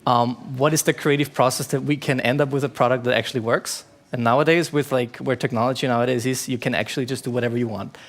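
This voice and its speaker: Austrian guy on a panel, casual and excited, says like a lot. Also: medium pitch, quick pace, hardly any pauses. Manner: casual, enthusiastic, uses "like" a lot. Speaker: panelist, agency co-founder. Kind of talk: conversational panel answers.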